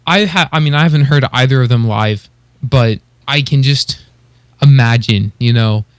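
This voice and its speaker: A man's voice: mid-range voice, normal speed, smooth, no room sound. A man co-hosting a podcast, chatting casually and giving informal, appreciative opinions. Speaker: podcast co-host.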